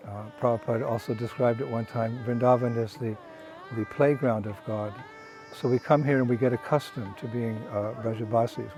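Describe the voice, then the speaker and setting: An older man, a traveling monk, speaking in a soft, halting way with many pauses and restarts, outdoors on a walking pilgrimage. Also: medium pitch. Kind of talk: casual spoken reflection.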